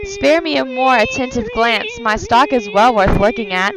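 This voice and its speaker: Woman as a lively high-voiced witch peddler. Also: moderate pace, poor audio. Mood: wheedling. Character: wheedling witch peddler of deadly wares. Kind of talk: theatrical amateur verse reading of a stage drama. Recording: clear crowd noise behind.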